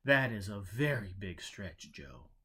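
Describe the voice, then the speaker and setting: A man's voice: fairly low pitch, sing-song, unhurried, a bit bright, breathy edge, heavily acted. A man voicing a fussy, bewildered monk, once a lawyer, who questions and protests indignantly in a storybook. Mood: protesting.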